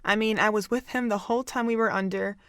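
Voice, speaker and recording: A woman's voice: mid-range voice, steady tone, fast, a bit bright, smooth, dry recording, studio quality, lightly acted. A woman playing a guarded young nursing student in an amateur audio drama, speaking hesitantly.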